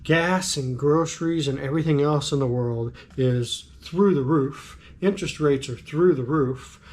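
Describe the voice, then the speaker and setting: A middle-aged man with a raspy voice and a slight cold, musing casually to camera as a vlogger, with frequent ums. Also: on the high side, unhurried. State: has a cold.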